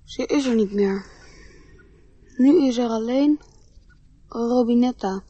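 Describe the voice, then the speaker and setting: Radio play young boy: dreamy, trusting, unhurried, slightly muffled dry recording. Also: a little high, mellow, a little hiss or hum. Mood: subdued.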